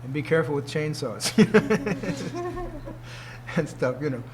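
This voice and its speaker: A man's voice: a little high, huge pitch swings, slow pace, noisy background. A man in his sixties, a martial arts teacher, giving an informal talk to students, emphatic and fond of rhetorical questions. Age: 60s.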